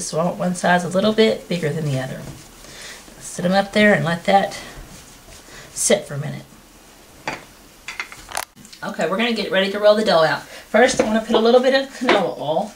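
Homey woman demonstrating a cooking recipe. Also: lowish voice. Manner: plain, homey, unhurried explaining. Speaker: home cooking show host. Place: rustic wooden kitchen indoors. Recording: noisy home video with kitchen clatter.

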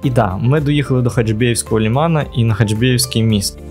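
A man's voice: medium pitch, quick pace. A man narrating his own travel vlog in a casual voice-over, with wry, joking asides. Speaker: travel vlogger. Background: music.

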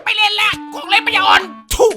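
A performer putting on an excitable, easily panicked cartoon game character with a squeaky voice, in an improvised comedic dub full of exclamations and crude slang. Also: quick pace. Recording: loud music over the voice.

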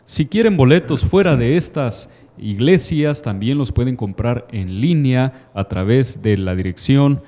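A man's voice: medium pitch, expressive, a little slow, mellow. A young man presenting live religious news into a handheld microphone, formal and devout. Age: young adult. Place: TV studio.

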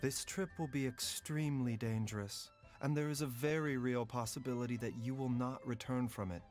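A man voicing a calm, courteous doctor who speaks in a measured, formal way. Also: mid-range voice, lightly acted. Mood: composed. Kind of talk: video game voice acting. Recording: game audio with soft music.

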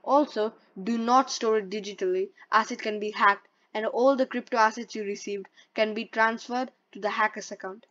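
A woman's voice: mid-range voice, steady tone, normal speed, few pauses, a bit bright. A woman presenting a step-by-step tutorial in a plain, instructional way, reading from a script. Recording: dull lo-fi voice-over.

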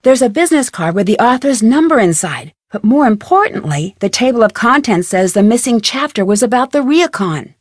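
A young female sleuth from a mystery video game. Her voice is fairly high and recorded dry and close. She speaks in a friendly, polite and inquisitive way, with clearly articulated scripted lines. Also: sing-song, fairly quick, few pauses, no room sound. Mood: intrigued.

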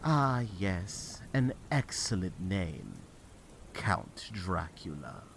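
A sinister manservant from a horror audio drama. The voice is very low and slow, obsequious and hesitant, against a backdrop of rain noise. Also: male voice, very animated, gravelly, fairly bright tone, heavily acted. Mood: sly, approving. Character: deferential, sinister male servant of a vampire.

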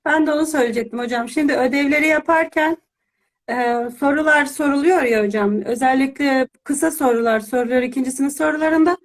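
A woman's voice: high voice, brisk. A woman speaking as a mother, giving a conversational testimonial in an interview.

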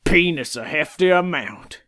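Man as a sleazy, sharp-voiced villain. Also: fairly high pitch, expressive, measured pace. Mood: menacing. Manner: taunting, sarcastic. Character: sleazy, menacing, sarcastic male villain. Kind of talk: amateur cartoon parody voice acting.

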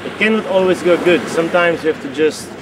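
A man's voice: fairly high pitch, fast. A man on an expedition, giving commentary to camera for a documentary. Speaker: expedition member. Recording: faint background noise outdoors.

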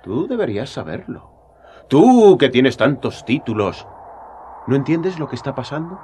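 An actor playing a young, earnest Jesus in a radio drama, talking with passionate urgency. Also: male voice, mid-range voice, sing-song, measured pace, warm tone, slight background noise. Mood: urging. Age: young adult.

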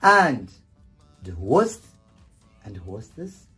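Husky guy chatting etiquette tips, super theatrical, repeats himself, faint music behind. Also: fairly low pitch, sing-song, very slow, pushed and bright. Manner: theatrical, emphatic, repeats phrases. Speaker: etiquette host. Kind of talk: casual chat giving etiquette advice. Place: furnished sitting room indoors.

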